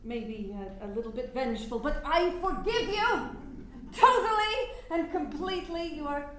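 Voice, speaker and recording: A woman's voice: sits high, animated, moderate pace, a bit bright. A woman playing a passionate, headstrong suffrage leader, effusive and emotional, in a staged play reading. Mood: effusive, adoring. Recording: echoey room, faint background noise.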